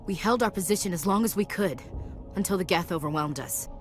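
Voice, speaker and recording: An actress playing a battle-worn but determined marine in a sci-fi video game, earnest and dutiful. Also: female voice, lowish voice, brisk, lightly acted. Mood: shaken. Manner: earnest, dutiful military delivery. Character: battle-worn, determined young female marine gunnery sergeant. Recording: faint game music behind.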